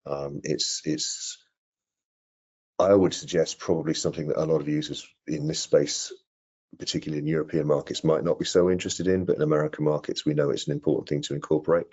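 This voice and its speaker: A middle-aged man answering technical questions in an online webinar, explaining things in a measured, careful way. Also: very deep voice, steady tone, a little mellow, breathy edge. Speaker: product presenter answering questions. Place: indoor room on a video call. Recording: muffled video-call audio.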